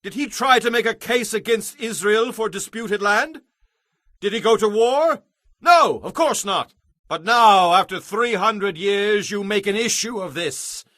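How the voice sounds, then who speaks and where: An actor playing an indignant warrior commander in an audio Bible drama, declaiming forcefully. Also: male voice, high-pitched, a little slow, no room sound, clean studio sound. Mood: indignant challenge. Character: indignant warrior commander arguing his people's case.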